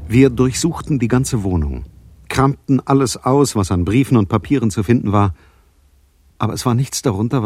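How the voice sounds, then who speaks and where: An actor playing a dry, methodical detective in a German radio crime drama, speaking in a measured, matter-of-fact way. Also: male voice, lowish voice, darkish tone, no echo. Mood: sober. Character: dry, methodical male detective narrating his case. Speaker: private detective and narrator.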